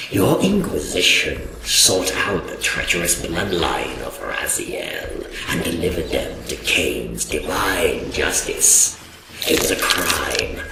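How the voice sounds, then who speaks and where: Deep, gravelly vampire lord. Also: male voice, noisy background, heavily acted. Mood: cold command. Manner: slow, imperious, mocking. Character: proud, contemptuous male vampire lord, coldly vengeful. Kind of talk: theatrical dark fantasy audio drama acting. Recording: roomy echo. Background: music.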